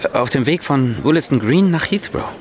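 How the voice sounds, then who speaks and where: An actor playing a composed man in a radio crime drama, speaking in a calm, low-key way. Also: moderate pace, lightly acted. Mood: tense. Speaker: Frank Teschemacher, lead character.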